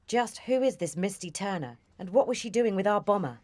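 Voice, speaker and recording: A woman's voice: medium pitch, animated, fairly quick, darkish tone, lightly acted. A British woman playing a composed senior intelligence officer in a radio drama, formal, firm and businesslike. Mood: brisk, probing.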